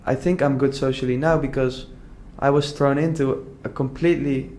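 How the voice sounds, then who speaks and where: A man's voice: mid-range voice, steady tone, normal speed, darkish tone. A young man from the Netherlands talking casually and earnestly in an interview, full of "like" fillers and restarts. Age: young adult. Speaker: entrepreneur guest.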